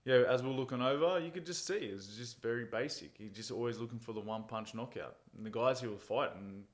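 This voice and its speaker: A man speaking at an ordinary middle pitch, relaxed and chatty as he talks through his fights and his childhood. He tells his stories loosely and easily, chuckling now and then at his own jokes. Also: fairly quick. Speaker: MMA fighter, interview guest. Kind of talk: casual interview chat.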